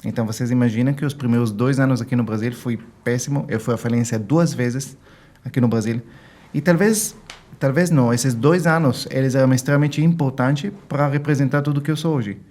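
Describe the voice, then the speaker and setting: A man with an Israeli accent speaks as a guest expert in an interview, at a medium pitch. He explains his points emphatically and illustrates them with little quoted exchanges. His delivery is conversational and instructive. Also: a little fast, darkish tone. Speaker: guest financial consultant. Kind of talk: explanatory interview talk with anecdotes.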